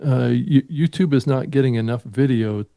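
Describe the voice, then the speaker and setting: A man's voice: mid-range voice, moderate pace, gravelly, warm tone, no room sound, studio quality. A man talking casually as the co-host of a podcast. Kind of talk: casual chat.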